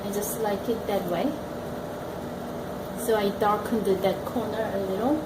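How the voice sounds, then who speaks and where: A woman with a Korean accent, teaching painting and talking slowly and thoughtfully while she works. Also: medium pitch, fairly even intonation, roomy sound, heavy background noise. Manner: slow and thoughtful, talks while working. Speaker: art teacher. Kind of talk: painting demonstration. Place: art gallery studio room.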